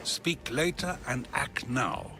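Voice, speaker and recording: An actor playing a proud, principled and steadfast Athenian philosopher in a video game cutscene. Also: male voice, medium pitch, expressive, slow. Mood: urgent. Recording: loud music behind.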